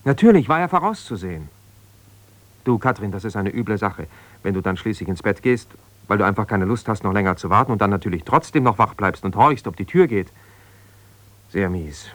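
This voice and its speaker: Jealous hurt husband in a radio play, lowish voice, super dry sound, halting and making excuses. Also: male voice, fairly bright tone, no echo. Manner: halting, colloquial, self-justifying. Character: aggrieved, jealous husband, an ambitious lawyer. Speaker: radio drama actor. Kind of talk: naturalistic radio drama, one side of a phone conversation.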